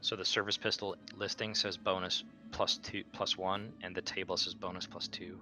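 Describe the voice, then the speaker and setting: A man's voice: low voice, little pitch movement, normal speed, over music. A man chatting casually as a player in a tabletop game. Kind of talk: casual chat.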